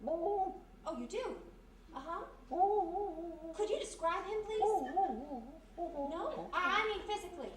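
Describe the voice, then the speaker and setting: A woman playing a perky, quick-thinking detective's sidekick with a coaxing manner, in old-time radio mystery style. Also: extremely high pitch, animated, fairly bright tone, heavily acted. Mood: brisk, eager. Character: quick-thinking young female detective's sidekick, former vaudevillian. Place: live performance in a roomy historic house. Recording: echoey live stage, distant mic, hiss.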